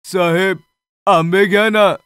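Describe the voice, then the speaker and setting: An actor playing a poor, humble street mango seller, deferential and pleading, in a horror story. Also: male voice, sits high, fairly even intonation, takes it slowly, fairly bright tone, smooth, no echo, clean studio sound.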